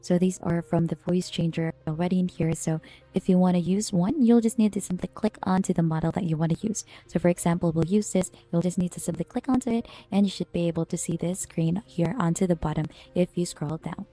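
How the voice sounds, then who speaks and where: Female tutorial narrator, lowish and soft-edged, instructional step-by-step delivery, music underneath. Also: steady tone, brisk. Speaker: software tutorial presenter. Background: background music.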